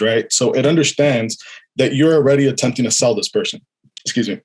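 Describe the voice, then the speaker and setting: A man giving an explanatory software demonstration in an informal, unscripted way. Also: mid-range voice, husky, rough recording. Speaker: software developer giving a product demo.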